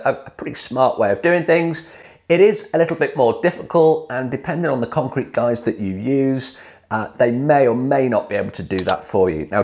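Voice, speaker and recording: A man in his forties with a British accent, hosting a chatty, enthusiastic explainer straight to camera in a studio. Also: medium pitch. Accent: British English. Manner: upbeat, chatty, salesy enthusiasm. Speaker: DIY hot tub course creator and channel host.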